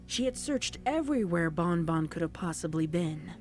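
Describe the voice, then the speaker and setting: A woman reads dark fiction as a story narrator. Her voice is on the low side, with dramatic, scripted delivery and soft music in the background. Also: lively intonation, normal speed.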